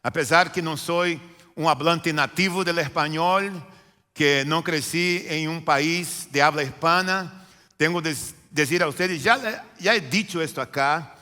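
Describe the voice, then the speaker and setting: A man from Brazil, a native Portuguese speaker, preaching a sermon as pastor in a church with a Brazilian Portuguese accent, repeating phrases and swinging between loud emphatic lines and quiet low ones. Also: a little slow, long reverb tail. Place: church sanctuary.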